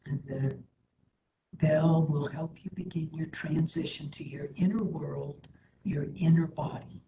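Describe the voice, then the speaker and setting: A woman instructor leading a guided meditation in a soothing, gentle manner, with hushed phrase endings. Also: sits low, steady tone, a little slow, gravelly. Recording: roomy echo, faint background noise.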